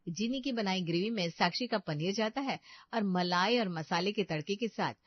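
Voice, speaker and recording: A woman's voice: mid-range voice, normal speed, muffled recording. A woman narrator reading a scripted bedtime moral story.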